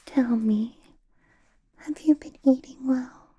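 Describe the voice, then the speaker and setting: A woman playing a possessive, doting vampire boss in an ASMR roleplay, whispering in a breathy, teasing, intimate way. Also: high voice, mellow, heavily acted. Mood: doting concern. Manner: slow, teasing, intimate personal-attention delivery.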